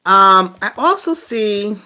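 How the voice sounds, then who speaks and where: A woman doing a casual, improvised tarot reading for viewers, thinking aloud in a halting way and trailing off mid-phrase. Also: medium pitch, expressive, bright, forceful tone. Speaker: tarot reader.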